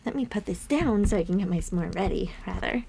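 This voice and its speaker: A woman playing a gentle young girl on a camping trip in an ASMR roleplay, speaking softly and breathily with hushed, dramatic pauses. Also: mid-range voice, lively intonation, background noise. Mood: soft, teasing. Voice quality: soft, breathy whisper. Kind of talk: ASMR roleplay, soft whispered storytelling.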